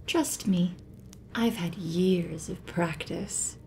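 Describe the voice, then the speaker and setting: Casting: actress as captive moon goddess, sly and taunting, dark soft tone, very slow sardonic delivery, ASMR roleplay. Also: female voice, exaggerated voice. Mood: teasing. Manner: teasing, sardonic, composed, breathy asides. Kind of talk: ASMR roleplay audio drama. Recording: faint noise, low rumble behind voice.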